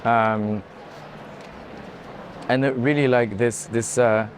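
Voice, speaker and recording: Guy doing art tour talk, medium pitch, stop-start explaining, noisy background. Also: steady tone, takes it slowly. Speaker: art gallery director in conversation. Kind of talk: unscripted art tour commentary. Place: indoor art fair hall. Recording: clear background noise of busy venue.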